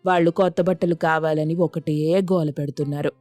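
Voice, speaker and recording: Woman voicing a low-pitched, coaxing mother. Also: steady tone, moderate pace, dark tone, no echo, faint music behind, close to an everyday voice. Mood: pleading. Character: tender, pleading village mother and wife. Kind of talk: scripted moral story dialogue.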